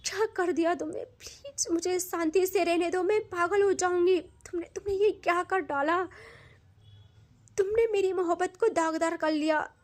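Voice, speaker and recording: A woman's voice: very high-pitched, normal speed, voice pushed up. A woman doing a terrified stammering young woman. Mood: distraught. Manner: stammering, panicky.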